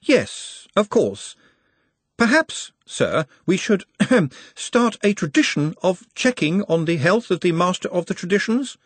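A man's voice: sits high, sing-song, unhurried, breathy edge, no echo, pitched above the performer's own voice, exaggerated voice. A man reading an audiobook, voicing an anxious, precise young male wizard who hedges before bad news. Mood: hesitant. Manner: hesitant and precise, with fussy hedging.

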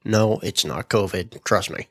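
Low-voiced guy with a stuffy nose, chill podcast talk, lots of ums, super dry sound. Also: measured pace, dry recording. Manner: relaxed, many ums and uhs, reads out minutes. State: stuffed up from a cold. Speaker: podcast host. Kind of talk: casual solo podcast talk.

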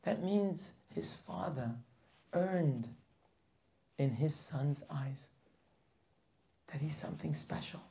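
A man's voice: a little high, lively intonation, slow, vocal fry, pauses often, warm tone. A man teaching a weekly Torah class, preaching with passion, firing rhetorical questions and sometimes dropping to a near whisper.

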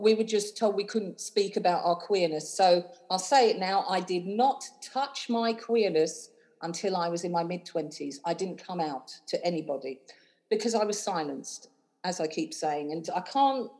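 London lady in her 30s, lowish voice, gushing and rambly, lots of ums. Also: a little mellow, poor audio. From London, England. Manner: chatty and effusive, rambling with many fillers. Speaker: cabaret host and singer, guest. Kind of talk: casual interview chat.

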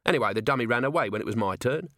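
A man's voice: medium pitch, animated, very fast, a bit bright, no room sound, studio quality. A man voicing a grubby, cheeky little watchman in an audiobook, with whiny, colloquial grumbling. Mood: disgusted, sulky. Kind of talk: audiobook character voice.

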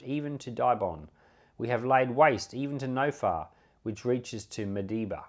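A man with a medium-pitched voice reads scripture aloud. His delivery is measured and solemn, yet his intonation moves widely, giving the narrative an expressive lift. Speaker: Bible podcast host and reader.

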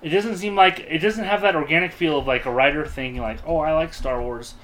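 Guy on a podcast, kinda higher sharp voice, giving opinions, emphatic and fed up. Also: a little fast, a little hiss or hum, low-quality recording. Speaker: podcast co-host. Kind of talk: casual opinionated group chat.

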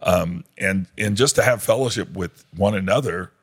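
Deep raspy guy, pastor on a podcast, kinda rambly, lots of ums. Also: moderate pace. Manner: rambling, with many fillers and restarts. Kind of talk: casual podcast discussion. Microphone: podcast microphone.

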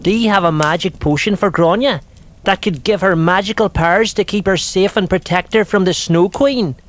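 An actress playing a loyal, gentle, encouraging young reindeer in a children's audio drama. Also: female voice, medium pitch, moderate pace, close to an everyday voice. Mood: earnest.

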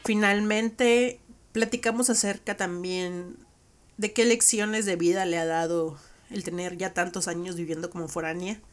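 A woman's voice: lowish voice, fairly even intonation, a little slow. Mexican woman hosting a reflective podcast. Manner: reflective, conversational, frequent "¿no?" tags. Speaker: podcast host. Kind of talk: solo podcast recap.

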